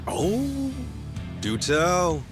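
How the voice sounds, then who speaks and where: An actor voicing a brash, wisecracking young male yakuza buddy in an English game dub, teasing, excitable and swearing freely. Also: high-pitched, drawn-out words, heavily acted. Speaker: game character. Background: game soundtrack music.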